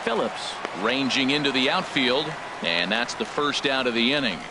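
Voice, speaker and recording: Over clear stadium noise comes a man acting as a male baseball play-by-play announcer in a sports game. His pitch is mid-range and his delivery is composed and professional, in the manner of a broadcast commentary. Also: expressive, normal speed, crowd noise, lightly acted.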